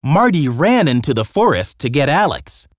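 A man's voice: fairly high pitch, big pitch swings, normal speed, no room sound, studio quality. A man narrating a scripted children's story with dramatic storytelling delivery.

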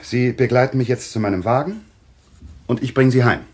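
An actor playing a patient, reasonable doctor who takes charge, soothing but firm, in a German thriller radio play. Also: male voice, medium pitch, a little fast, close to an everyday voice. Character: level-headed, patient male doctor taking charge.

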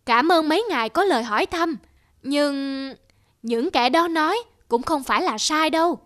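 A woman voicing a dutiful, candid male court minister in a cartoon folktale, measured and deferential. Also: high voice, expressive, fairly bright tone. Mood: composed. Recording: music under the dialogue.

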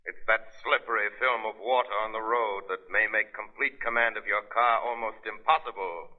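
An actor playing a mysterious, all-knowing avenger warning listeners in a 1930s radio advertisement. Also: male voice, on the low side, fairly even intonation, moderate pace, lightly acted. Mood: ominous. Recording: dull 1930s radio recording.

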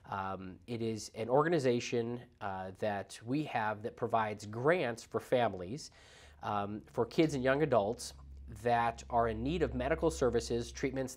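A man in his thirties hosting a podcast, chatting casually with lots of fillers, a bit congested from a cold, recorded on a lapel mic in a small studio. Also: mid-range voice. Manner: chatty, filler-heavy, earnest. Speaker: podcast host. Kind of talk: casual interview chat. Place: small studio lounge set.

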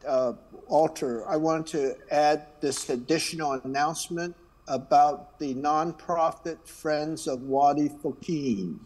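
Man, slow, slightly high voice, friendly church announcements, unhurried asides. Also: darkish tone. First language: Chinese. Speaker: worship leader reading announcements. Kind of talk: church announcements and call to worship. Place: church sanctuary.

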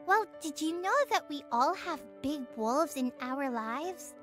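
A childlike voice telling a Bible lesson to young listeners in simple, expressive scripted speech, as the host of a children's show. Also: high voice, measured pace, soft background music. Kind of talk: animated scripted storytelling and teaching for children.

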